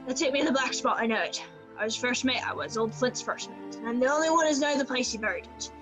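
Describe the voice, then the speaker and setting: A woman playing a rough, fearful old male sea captain who craves rum, in a radio play with sailor dialect. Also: sits high, fast talker, over music, close to an everyday voice. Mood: agitated, fearful.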